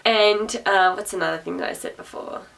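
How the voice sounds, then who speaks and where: A young adult woman talks casually to camera in a loud, projecting voice. She is sarcastic and chatty, repeats herself and laughs often. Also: medium pitch, normal speed, creaky. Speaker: vlogger. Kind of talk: rambling casual talk to camera. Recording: voice a little distant from the mic.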